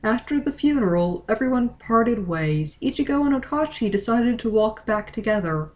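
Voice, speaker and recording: A woman reading a story aloud as dramatic, deliberate narration. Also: a little high, lively intonation, darkish tone. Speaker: reader of a fan-fiction story.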